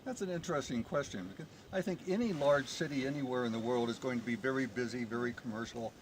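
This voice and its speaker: A man's voice: medium pitch, fast talker. A middle-aged man from the United States, a tourist guest describing his trip with enthusiasm, recorded outdoors in the mountains. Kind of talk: outdoor interview. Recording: noisy outdoor ambience.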